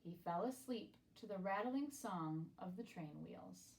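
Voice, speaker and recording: A woman reading a children's picture book aloud expressively, with deliberate, dramatic phrasing and pauses. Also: lowish voice, expressive, gravelly, darkish tone, slight background noise, poor audio. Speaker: storybook reader.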